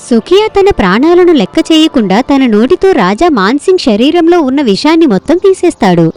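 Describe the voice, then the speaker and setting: A woman reading scripted narration for an animated moral story as its storyteller. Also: high voice, brisk, faint music behind.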